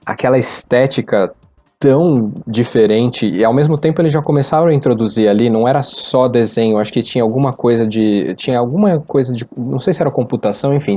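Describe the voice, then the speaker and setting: A man in his forties talks with a Brazilian Portuguese accent in a mid-pitched, gently mellow voice. He chats casually, telling anecdotes on a podcast, and the microphone sound is a little dull. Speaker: podcast participant. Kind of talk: casual conversational storytelling.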